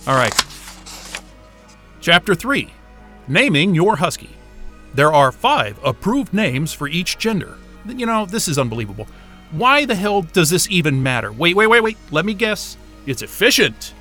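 An actor playing an exasperated, sarcastic but soft-hearted astrophysicist in a naturalistic science-fiction audio drama. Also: male voice, sits high, big pitch swings, moderate pace, faint music behind, heavily acted. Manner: sarcastic, muttering, casual swearing.

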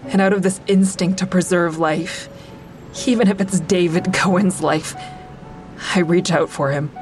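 A woman's voice: on the low side, fairly even intonation, a little slow, darkish tone, close to an everyday voice. An actress playing a bitter, defiant woman who narrates a confrontation with her former partner in an audio drama. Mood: grave, reflective. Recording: music behind the voice.

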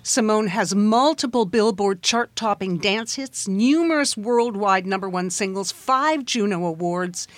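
A woman's voice: mid-range voice, a little slow, few pauses, no echo. Female interviewer, reads intro then asks questions, dry close mic. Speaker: host. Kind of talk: radio interview.

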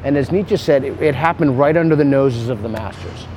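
Male guest, mid-range voice, earnest and deliberate, faint traffic behind. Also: medium pitch, a little mellow. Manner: earnest and deliberate, searching for words. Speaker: guest on a discussion panel. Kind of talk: casual roundtable discussion. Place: seated at a table in a city.

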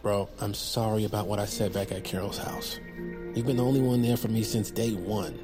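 Guy acting young sidekick bro in a podcast comedy, casual and teasing, mid pitch, music under him. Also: steady tone, fairly quick, lightly acted. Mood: sincere, teasing. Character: laid-back young sidekick, loyal, teasing, slangy buddy. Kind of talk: audio comedy drama acting.

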